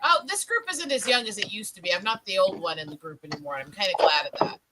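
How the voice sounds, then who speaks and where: A woman's voice: medium pitch, very animated, fast, noisy background. A woman in her forties chatting casually in a group, snarky and sarcastic, talking at length about herself. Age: 40s. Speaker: participant in an online group chat. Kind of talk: casual chat.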